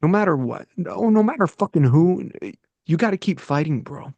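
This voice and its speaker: A man's voice: a little high, animated, moderate pace, darkish tone, husky, dry recording, lo-fi sound, pitched above the performer's own voice. A man reading the part of a fired-up older brother giving a sweary, intense pep talk at an amateur table read. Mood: urgent.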